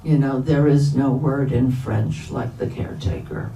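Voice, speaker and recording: An older woman author answering audience questions off the cuff, halting and thoughtful, often pausing mid-sentence. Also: rumbling low, gravelly, warm tone. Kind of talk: unscripted question-and-answer talk. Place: live event in a bookstore. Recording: echoey room, distant mic, background noise.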